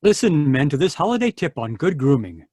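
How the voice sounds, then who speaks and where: A man announcing a commercial in the style of a 1940s radio pitch. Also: big pitch swings, fairly quick, darkish tone, slightly rough, no room sound, clean studio sound.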